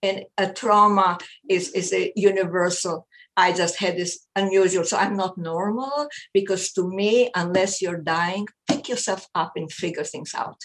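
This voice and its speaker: An older woman whose first language is German, telling her life story in an interview, speaking haltingly and repeating words as she searches. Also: on the low side, a bit bright, low-quality recording. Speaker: interview guest, psychologist. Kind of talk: personal testimony in an interview.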